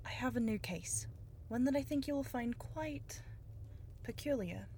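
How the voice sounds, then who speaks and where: An actress playing a determined, businesslike younger private detective in a noir audio drama, cool, persuasive and pointed. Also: female voice, a little high, expressive, measured pace, dark tone, slight background noise.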